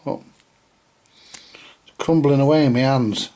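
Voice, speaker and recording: Slow-talking British man muttering over repairs. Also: mid-range voice, steady tone, mellow. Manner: muttering to himself, colloquial, mildly grumbling. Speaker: vintage radio repairer presenting a video. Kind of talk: casual hands-on repair commentary, thinking aloud.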